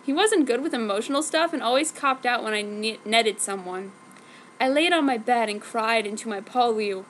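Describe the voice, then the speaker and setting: High-voiced woman reading theatrically. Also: normal speed. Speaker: narrator. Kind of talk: mock-dramatic fan fiction reading. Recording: clearly noisy home recording.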